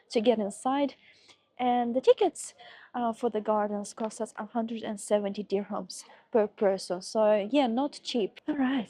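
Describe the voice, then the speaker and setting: A woman's voice: fairly high pitch, mellow, no echo. A woman chatting to viewers as a travel vlogger, explaining things casually, her voice slightly affected by a cold. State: slight head cold, voice a bit off. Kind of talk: casual travel vlog narration to camera.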